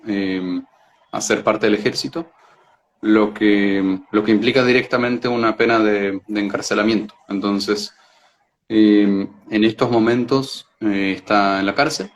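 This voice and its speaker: A man from Israel speaking as an interview guest, explaining things reflectively and hesitantly, searching for words and repeating them. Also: lowish voice.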